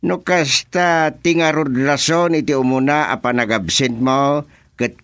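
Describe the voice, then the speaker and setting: An actor playing an officious, teasing village chairman with an Ilocano accent, questioning in a comically deliberate way in a radio comedy. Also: medium pitch, fairly bright tone, smooth, no echo, natural voice.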